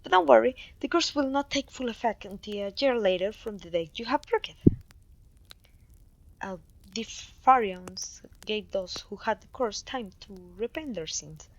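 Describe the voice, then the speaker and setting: A woman voice acting a clumsy, kindly, apologetic witch in an ASMR fantasy roleplay, with a gentle, polite, slightly old-fashioned way of talking. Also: mid-range voice, expressive, normal speed, a little hiss or hum, lightly acted, poor audio. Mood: apologetic, reassuring.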